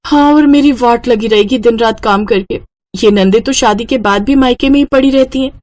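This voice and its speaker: A woman's voice: fairly high pitch, normal speed, a little mellow, close to an everyday voice. Woman as overworked young daughter-in-law. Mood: grumbling. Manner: polite aloud, grumbling to herself.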